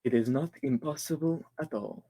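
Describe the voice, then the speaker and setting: A man's voice: fairly high pitch, mellow, close to an everyday voice. A man playing a formally spoken, menacing doctor in a 1940s-style radio thriller. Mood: polite menace. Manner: formal, deliberate phrasing. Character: menacing polite doctor villain, really a panicking radio actor.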